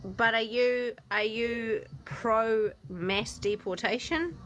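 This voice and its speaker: A woman's voice: on the high side, steady tone, slow pace, a bit bright, slight background noise. Chatty New Zealand woman on a livestream. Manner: chatty, loose, uses filler like "dude" and "like". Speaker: livestream guest. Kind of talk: casual live chat.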